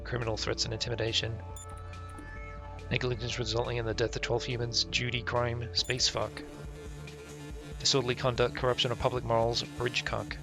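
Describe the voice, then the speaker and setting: A man's voice: mid-range voice, completely flat delivery, moderate pace, creaky, pauses often. A man live-streaming a game, reading its text aloud and adding casual, sweary, offhand comments, with game music behind him. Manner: casual, profane, offhand asides.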